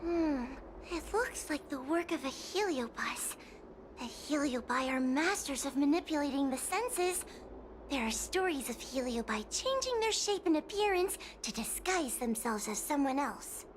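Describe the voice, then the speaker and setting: A woman's voice: high voice, animated, measured pace, a little hiss or hum. A woman voicing a timid, nervous young girl exorcist in a game cutscene, hesitant and apologetic as she talks. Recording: game cutscene with music behind.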